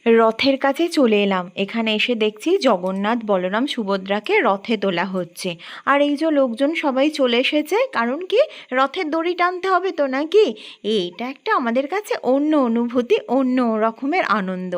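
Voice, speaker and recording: A woman's voice: on the high side, animated, moderate pace. A young woman hosting a chatty vlog, cheerfully asking her viewers questions. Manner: cheerful, addresses viewers as friends with many questions. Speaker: vlog host.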